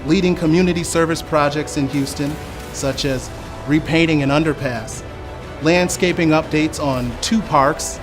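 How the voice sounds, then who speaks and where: A man's voice: a little high, normal speed. A man reading a scripted tribute aloud as narration. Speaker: company employee reading submission stories. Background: prominent background music.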